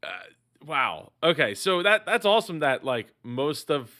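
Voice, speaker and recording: A man's voice: fairly high pitch, big pitch swings, pushed and bright. A man hosting a casual reaction show, talking with enthusiasm, with lots of fillers and restarts. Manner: halting, many fillers and restarts; upbeat and enthusiastic. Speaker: business reaction host.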